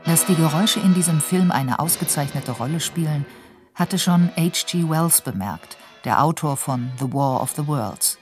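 A woman's voice: deep voice, animated, moderate pace, loud music behind the voice. A woman reading scripted narration for a radio documentary.

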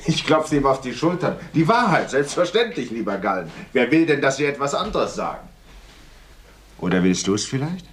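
A man's voice: mid-range voice, sing-song, normal speed, natural voice. An actor playing a cynical, suave and greedy blackmailer in a German radio play, savoring his words with sardonic relish. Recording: faint noise on old radio recording.

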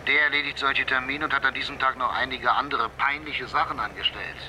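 A man's voice: medium pitch, steady tone, a little fast, noisy background, lightly acted. An actor in a German radio drama playing an evasive, exasperated man of power, speaking defensively. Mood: irritated, defensive.

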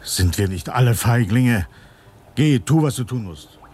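A man acting in a German radio drama as a steady, grim but consoling Russian revolutionary leader, speaking tersely and matter-of-factly. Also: medium pitch, husky. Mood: resolute. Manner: terse, measured, matter-of-fact. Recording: studio radio play with music behind.

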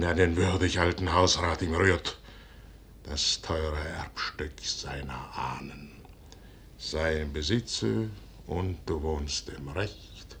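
An actor playing a proud, brooding middle-aged general in a 1950s radio play, declaiming in a weighty, solemn stage manner. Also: male voice, a bit bright, heavily acted. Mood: grave, hushed. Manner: declamatory, weighty, solemn delivery with measured pauses. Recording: noisy 1950s radio recording with rumble.

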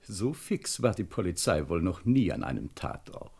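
An actor playing a haughty, pedantic middle-aged English butler in a German radio crime comedy, speaking formally and with dry sarcasm. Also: male voice, low-pitched, big pitch swings, normal speed, creaky, darkish tone, breathy edge, exaggerated voice. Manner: pompous, formal, dryly sarcastic. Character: haughty, pedantic English butler, easily frightened, about fifty.

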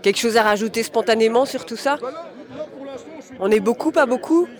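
A man's voice: very high voice, normal speed, crowd noise. A man conducting street interviews at a demonstration, prompting with probing follow-up questions. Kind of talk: vox-pop questioning.

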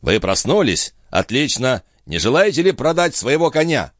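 A man's voice: medium pitch, sing-song, moderate pace, no echo, clean studio sound, pitched above the performer's own voice, natural voice. A man voicing an overbearing, cool-headed viscount in a storybook reading, with a barking, insolent manner. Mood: brisk, insolent. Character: brash, cool-headed, overbearing male viscount, quick to threaten.

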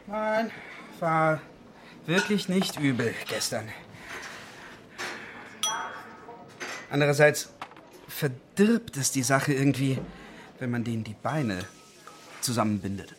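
An actor in a radio play as a chatty office colleague, casual and breezy in manner. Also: male voice, medium pitch, animated, measured pace, long pauses, lightly acted. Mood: musing. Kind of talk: radio play dialogue acting. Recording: clearly noisy background with clicks.

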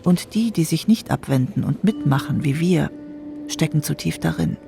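A man's voice: fairly high pitch, lively intonation, moderate pace, gravelly, warm tone, soft background music. A man reading a reflective scripted monologue in an earnest, self-critical tone.

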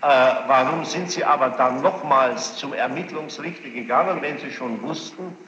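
Judge in an echoing hall. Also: male voice, mid-range voice, pushed and bright. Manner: formal, probing, deliberate phrasing with insistent recapitulation. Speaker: presiding judge questioning a witness. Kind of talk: courtroom questioning. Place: large reverberant courtroom with gallery. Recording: noisy, muffled 1960s courtroom tape, echoing hall.

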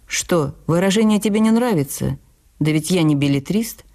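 A man's voice: sits high, expressive, moderate pace, a little mellow. A woman voicing a teasing, plain-spoken young husband and father in a familiar, bantering way.

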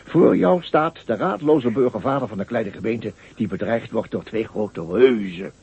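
A pompous raspy mayor. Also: male voice, on the low side, moderate pace, a little mellow, dull audio, full character voice. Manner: pompous, theatrical, stammering. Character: pompous, anxious small-town mayor, middle-aged man. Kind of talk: radio drama acting.

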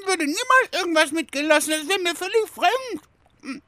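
A woman's voice: extremely high pitch, lively intonation, moderate pace, sharp tone, no room sound, clean studio sound, full character voice. A woman playing a sly young boy in a parody audio drama, cheeky and far too polite. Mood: sugary, innocent.

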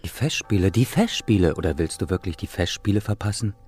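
An actor playing a divorced man who coaxes and reassures in a soft-spoken, earnest, persuasive way in a German radio play. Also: low-pitched, expressive, fairly quick, husky, soft background music. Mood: coaxing. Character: coaxing divorced man urging a woman to stay.

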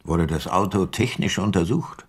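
An actor playing a reflective, weary private detective in a radio drama, measured and laconic. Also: male voice, low-pitched, slightly rough, no echo. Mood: calm, probing. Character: weary, reflective male private detective narrating his case. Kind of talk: scripted narration in a radio drama.

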